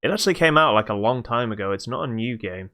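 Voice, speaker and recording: Animated British man with a bright voice. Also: mid-range voice, fast talker. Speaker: podcast co-host. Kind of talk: casual chat.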